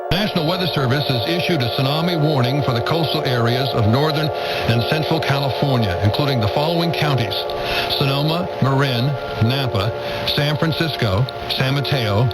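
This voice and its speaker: A man's voice: mid-range voice, moderate pace, over loud music, low-quality recording. A man reading a scripted weather warning bulletin as an emergency alert announcer.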